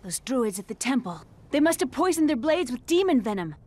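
A woman's voice: sits high, very animated, a little fast, a little mellow. An actress playing a determined young female rebel fighter in a video game. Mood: alarmed. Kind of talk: video game cutscene acting.

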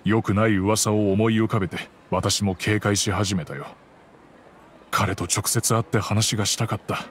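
An actor playing a middle-aged, fatherly master of a wealthy house in an anime game, measured, gentle and remorseful. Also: lowish voice, a little hiss or hum, natural voice. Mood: grave, regretful. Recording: soft music behind the voice.

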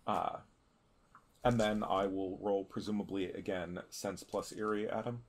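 Male tabletop player, improvised narration, low voice, very slow and deliberate with halting phrasing. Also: steady tone, a little hiss or hum.

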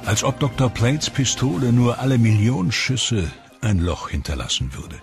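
A man giving measured, explanatory scripted voice-over narration for a documentary. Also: mid-range voice, animated, vocal fry. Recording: voice-over with music behind.

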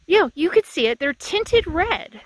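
A woman's voice: extremely high pitch, sing-song, a little slow, low-quality recording. A woman in her fifties vlogging casually from outdoors and inside her RV, chatting and sweetly talking to her dog, recorded on a phone at arm's length. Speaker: RV travel vlogger. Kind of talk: casual vlog chat to camera and dog. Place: outdoors in windy high desert and inside an RV. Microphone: phone camera at arm's length.

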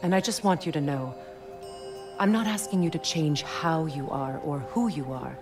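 An actress playing an earnest, dignified female warrior leader in a video game, sincere, measured and encouraging. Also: deep voice, music in the background. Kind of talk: dramatic game acting.

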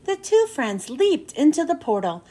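A woman's voice: high voice, big pitch swings, normal speed, mellow. A woman reads a children's storybook aloud, phrasing carefully and expressively for young listeners. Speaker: children's book reader and host.

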